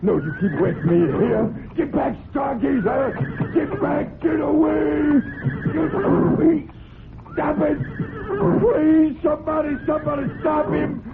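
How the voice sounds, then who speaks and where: A woman's voice: high-pitched, steady tone, unhurried, creaky. Shrieking terrified woman, tinny recording. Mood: panicked, screaming. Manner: shrieking, desperate. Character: terrified woman fending off a killer horse. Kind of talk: old radio drama acting. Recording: very noisy, tinny old radio recording.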